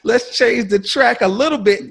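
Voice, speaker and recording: Husky high-voiced man making a point. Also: moderate pace, a bit bright. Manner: emphatic, laughs at own wordplay. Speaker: guest panelist. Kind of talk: animated panel discussion.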